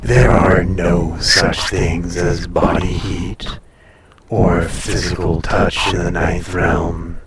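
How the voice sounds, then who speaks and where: A man voicing an ancient, haughty, possessive dragon in an intimate audio roleplay, speaking formally and deliberately with a breathy, softly menacing, seductive delivery. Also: deep voice, level tone, echoey room, far from the mic, a crowd in the background. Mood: wistful, musing.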